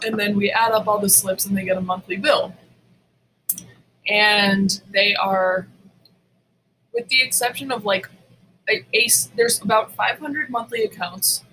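Woman, bright tense voice, sardonic, blunt, casual podcast chat, faint background noise. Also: medium pitch, poor audio. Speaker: podcast co-host.

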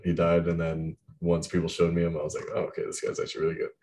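Young man, very low deep voice, loose rambling chat, heavy fillers. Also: completely flat delivery, fast talker, mellow, a little hiss or hum. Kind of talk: casual chat about music.

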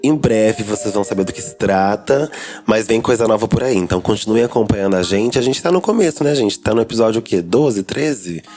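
A man with a Brazilian Portuguese accent hosting a podcast in a drag persona, hoarse from a cold, chatting in a campy, joking way. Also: lowish voice, a little fast, a little mellow, faint music behind. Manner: campy, playful and jokey. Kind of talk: casual podcast chat.

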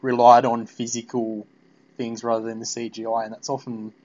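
A man with a medium-pitched voice hosts an informal interview. His questions wander a little and are loosely phrased, in a chatty podcast style. The sound is slightly muffled and lo-fi. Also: flat delivery, normal speed, darkish tone. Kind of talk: casual fan interview chat.

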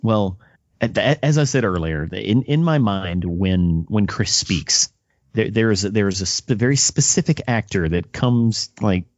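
A man interviewing casually, haltingly repeating words as he works his way into each question. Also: on the low side, animated, dry recording, dull audio. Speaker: podcast interviewer.

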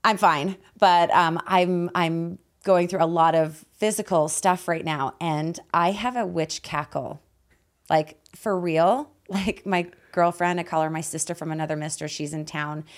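A woman with a raspy, hoarse voice hosting a conversational podcast in a playful, teasing manner. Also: fairly low pitch, steady tone, a little slow. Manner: playful, teasing, laughs often. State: voice hoarse after losing it. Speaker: host and guide.

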